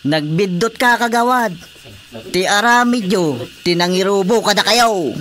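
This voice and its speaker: High-pitched eager comic informant. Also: male voice, unhurried, fairly bright tone. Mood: taunting. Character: eager, sly village informant, comic underling. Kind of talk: comedic radio drama acting.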